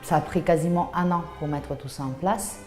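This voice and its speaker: A woman in her thirties with an Italian accent tells of her craft in an interview. Her voice is low and soft-edged, with a warm, dark tone. She thinks aloud with some hesitation, in a room with noticeable echo. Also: fairly even intonation, normal speed, faint music behind. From Puglia, southern Italy. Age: 30s. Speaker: artisan cheesemaker and shop founder.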